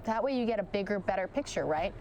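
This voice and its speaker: A woman news anchor giving live commentary on a police chase, chatting directly with viewers, her voice starting to go hoarse. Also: mid-range voice, lively intonation, fast talker, darkish tone, lo-fi sound, slight background noise. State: losing her voice.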